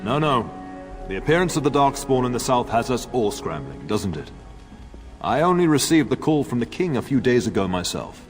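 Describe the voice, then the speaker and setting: A man's voice: medium pitch, sing-song, moderate pace, soft background music, natural voice. An actor playing a middle-aged nobleman and father in a fantasy game, speaking in a stately, assured, fatherly way. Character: grey-haired noble father, warm, authoritative lord. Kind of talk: scripted fantasy game dialogue.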